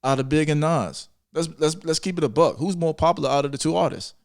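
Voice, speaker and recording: A man's voice: fairly high pitch, quick pace, gravelly, no echo, studio quality. An American man hosting a casual music debate, making his case insistently and repeating points for emphasis. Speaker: podcast host.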